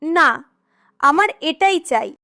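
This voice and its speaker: A woman playing a spoiled, stubborn but brave little girl in an animated story, speaking insistently. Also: high-pitched, takes it slowly, vocal fry, heavily acted. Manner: insistent, demanding.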